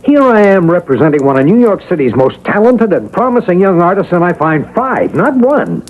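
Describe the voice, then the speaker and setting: An actor playing a blunt, exasperated artist's agent in a 1970s radio drama, emphatic and incredulous. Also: male voice, high voice, big pitch swings, moderate pace, a little hiss or hum. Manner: emphatic, incredulous, repeats himself for effect. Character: exasperated, high-voiced male artist's agent, disapproving and blunt. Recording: muffled lo-fi old radio broadcast.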